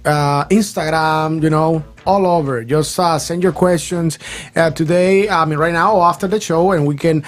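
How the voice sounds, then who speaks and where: A man's voice: fairly high pitch, steady tone, normal speed. A man hosting a live bilingual radio talk show in a chatty, informal way. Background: music.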